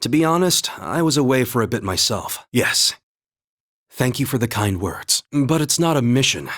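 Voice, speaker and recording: A man voicing an earnest, calm young male warrior in a video game, speaking in a measured, sincere and formal way. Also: medium pitch. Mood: sincere, reassuring. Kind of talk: video game voice acting, battle calls and dialogue.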